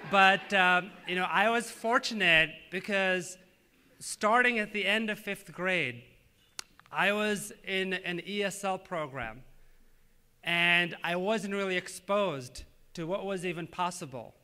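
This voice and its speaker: Bright-voiced earnest man giving a speech. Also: sits high, clear, resonant tone. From Tanzania. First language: Swahili. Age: 30s. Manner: earnest, measured, with frequent "uh" fillers. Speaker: government official giving a speech. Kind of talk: prepared public speech.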